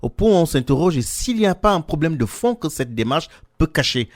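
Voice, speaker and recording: A man's voice: a little high, big pitch swings. Formal male radio talk-show host. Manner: formal, measured, interrupts guests to steer.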